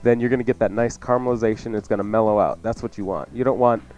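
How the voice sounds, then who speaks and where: A man's voice: on the low side, fairly even intonation, fast, a little mellow, dull audio. A man hosting a cooking show on the radio, chatting casually and jokingly as he gives folksy recipe instructions. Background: music under the talk.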